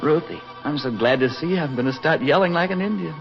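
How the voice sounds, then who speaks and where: A man's voice: on the high side, fast talker, lightly acted. Man acting folksy lovesick young soldier, vintage radio drama with music. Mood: eager, joyful. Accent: American. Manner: folksy, wisecracking, ardent. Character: affable, lovesick young American soldier returning to his sweetheart. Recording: dull 1940s radio recording, music behind.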